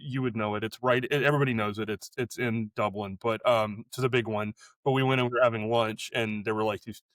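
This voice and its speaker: A man's voice: on the low side, steady tone, brisk, a bit bright, no echo. A man, co-hosting a travel podcast, talking in an enthusiastic, gushing way with frequent restarts. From United States. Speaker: podcast co-host. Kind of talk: casual interview chat.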